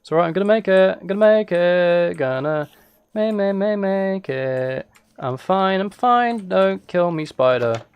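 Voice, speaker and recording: A man's voice: sits high, animated, unhurried, no echo, muffled recording. A man live streaming a game with casual, rambling, self-amused commentary, chatting as he plays indoors at a computer. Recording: clear background noise, keyboard and mouse clicks.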